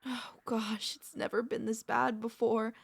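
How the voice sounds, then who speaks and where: A woman playing a tender, anxious, possessive vampire mistress in an intimate ASMR audio roleplay, speaking in soft, formal, pleading phrases with dramatic pauses. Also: on the high side, little pitch movement, creaky, husky, no room sound, exaggerated voice. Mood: worried, heartbroken.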